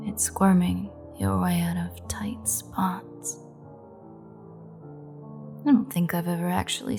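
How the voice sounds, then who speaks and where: A woman acts out an amused, predatory female vampire in an audio drama. She taunts in a lowish, gravelly voice, taking her time and slipping into breathy half-whispers. Her manner is sultry and threatening. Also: heavily acted. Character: menacing, amused female vampire gloating over a captured hunter. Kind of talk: audio roleplay acting.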